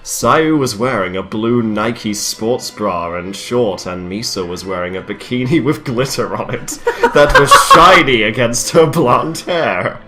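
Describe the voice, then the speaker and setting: A man's voice: mid-range voice, lively intonation, unhurried, few pauses, over music. A man hosting a comic read-aloud of a fan story, narrating and breaking off with mocking asides. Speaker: reader, host. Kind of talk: comic dramatic reading.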